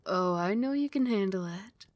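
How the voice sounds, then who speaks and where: A woman voicing a doting, possessive vampire queen in an ASMR roleplay, speaking softly and breathily, often dropping into a whisper, with tender, regal endearments. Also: medium pitch, lively intonation, moderate pace, no echo, close to an everyday voice. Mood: soothing, protective. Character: doting, possessive vampire queen soothing her beloved pet.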